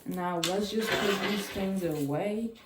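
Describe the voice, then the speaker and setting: A woman whose first language is Chinese, chatting casually and haltingly through a cube unboxing with small grammar slips. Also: medium pitch. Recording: echoey room with clicking noise.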